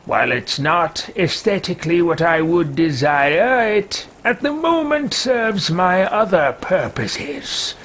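Man putting on a pompous, slow-spoken dark mage. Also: high voice, big pitch swings, slight background noise, heavily acted. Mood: grandly self-satisfied. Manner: pompous, theatrical, drawn-out formal phrasing. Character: haughty, power-hungry male dark mage. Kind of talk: in-character video game roleplay.

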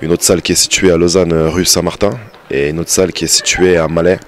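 A man's voice: low-pitched, little pitch movement, fast. A man in his thirties who leads a fight team, answering in a group interview with frequent fillers, on a handheld mic in an indoor lounge. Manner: hesitant, many fillers. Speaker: fight team head coach. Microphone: handheld mic with windscreen.

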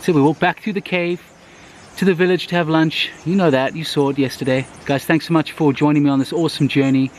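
A man in his thirties, vlogging with excitement while talking to a handheld camera in the jungle and inside a huge cave. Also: fairly high pitch, a little fast, slight background noise. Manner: enthusiastic, excited, talks straight to handheld camera. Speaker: travel vlogger. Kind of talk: casual travel vlog. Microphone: handheld camera at arm's length.

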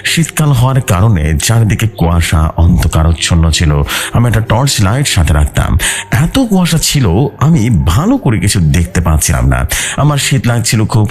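A man's voice: lowish voice, sing-song, brisk, a little mellow, faint music behind. A man narrating a scripted first-person ghost story.

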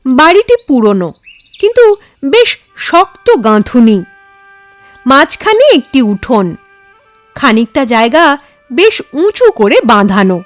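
A woman narrates a story in a high, very animated voice. She takes her time over each phrase with measured, dramatic delivery. The recording is close and dry. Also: no room sound. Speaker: storyteller, channel host. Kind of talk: expressive scripted story narration.

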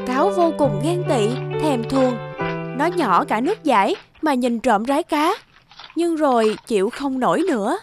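A woman's voice: sits high, lively intonation, moderate pace, music in the background. A woman storyteller reading scripted fairy-tale narration for children.

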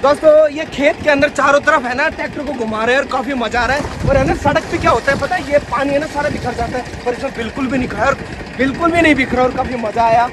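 A young man hosting an outdoor vlog, chatting excitedly to the camera and often shouting over the activity. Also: very high-pitched, quick pace. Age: young adult. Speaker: vlog host. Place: open farm field, outdoors. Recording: noisy outdoor recording with engine and crowd. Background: loud vehicle noise and excited group.

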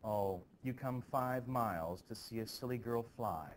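A man playing a stern, gruff farmer in a stage play, firmly scolding and resisting temptation. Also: medium pitch, measured pace, a little hiss or hum, natural voice. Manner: firm, scolding dramatic reading.

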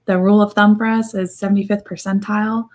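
A slightly raspy, congested-sounding woman answering questions informally as a recruiting manager on a video-call panel. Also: medium pitch, level tone, fast, a little mellow. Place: indoor room, video call.

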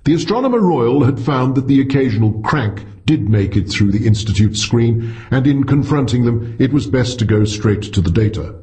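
A man's voice: medium pitch, expressive, moderate pace, warm tone. A man reading a scripted short story as an audiobook narrator.

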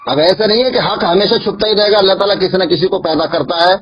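A dull, lo-fi call recording carries a man's high, husky voice. He speaks as a cleric in a religious debate, arguing insistently and repeating his points to drive them home. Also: quick pace. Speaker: Islamic cleric.

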